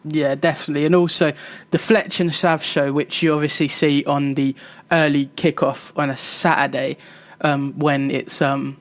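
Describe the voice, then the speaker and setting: A man's voice: fairly high pitch, level tone, normal speed. A British man hosting a casual football chat show, who often chips in short agreements and leads the topics. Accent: British English. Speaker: show host.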